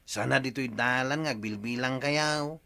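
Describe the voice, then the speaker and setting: A man's voice: medium pitch, normal speed, sharp tone. A man with an Ilocano accent playing a village man who lectures others about money, in an Ilocano radio comedy drama.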